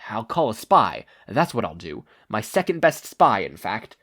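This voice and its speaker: A man's voice: on the low side, big pitch swings, a little slow, a bit bright, heavily acted. A man voicing a supervillain mastermind in frantic denial, nervously stammering and reassuring himself in a fan fiction reading. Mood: nervous scheming.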